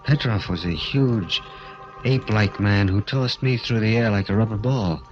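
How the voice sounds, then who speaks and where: An actor playing a bitter, anguished vaudeville little person in a 1940s radio drama, intense and halting. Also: male voice, fairly low pitch, dull audio, over music, lightly acted. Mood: bitter, brooding.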